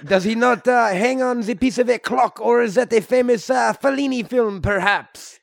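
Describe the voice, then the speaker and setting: A man plays a French Canadian superhero for an improvised comedy podcast. The voice is high and creaky, with a thick accent and broken English. He rambles along, amiable and hesitant. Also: moderate pace, dry recording, clean studio sound. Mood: rambling, amiable.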